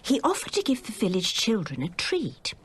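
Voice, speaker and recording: An actress playing a gossipy, dramatic English woman telling a frightening anecdote in a radio play, often dropping to hushed tones. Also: mid-range voice, huge pitch swings, normal speed. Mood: animated, shocked.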